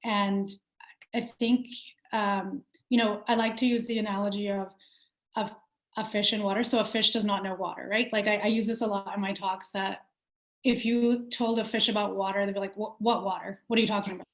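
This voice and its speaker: A woman's voice: medium pitch, flat delivery, fairly quick. A young adult woman from Pakistan, a company founder answering interview questions in a thoughtful way, with many fillers and restarts. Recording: roomy echo.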